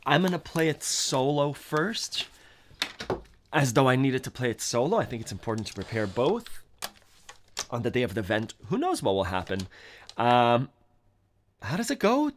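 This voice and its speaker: A man's voice: mid-range voice, big pitch swings, normal speed, pauses often, a little hiss or hum. A man in his thirties teaching accordion on a livestream from a home music room, thinking aloud and scatting melodies. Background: accordion playing.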